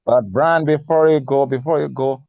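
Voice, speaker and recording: A man's voice: mid-range voice, moderate pace, creaky, no echo. Man on dull, dry phone call audio. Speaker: first-time session participant. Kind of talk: casual chat. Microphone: phone on a video call.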